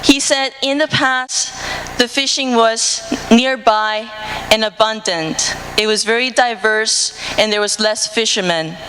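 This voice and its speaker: A woman's voice: on the high side, unhurried, pushed and bright. A woman from the Dominican Republic with a Dominican Spanish accent, telling a personal story at a conference in earnest English full of "uh" fillers and restarts. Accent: Dominican Spanish, speaking English. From Dominican Republic, Cibao region. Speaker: marine conservation researcher. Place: conference hall. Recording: hall reverb, faint room noise.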